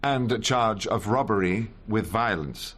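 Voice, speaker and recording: An actor playing a wry, shrewd English defence barrister in a radio drama, with dry, measured delivery. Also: male voice, lowish voice, lively intonation. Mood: dry questioning. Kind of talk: radio drama acting and first-person narration.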